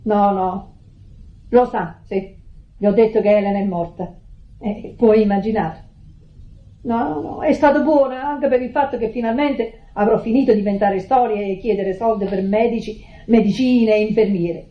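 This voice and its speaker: A woman's voice: mid-range voice, moderate pace, echoey room, lightly acted. An actress with a Neapolitan accent playing a defensive, righteous middle-aged sister in a radio drama, insistent and repeating her words. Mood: subdued, confiding. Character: defensive, wounded, righteous sister running the household. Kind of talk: stage-style Italian radio drama acting. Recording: muffled old radio drama recording.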